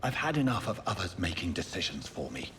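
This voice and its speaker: A man playing a bitter, defiant, resentful character in a video game, curt and forceful. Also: sits low, sing-song, fairly quick, slight background noise. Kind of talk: video game dramatic acting.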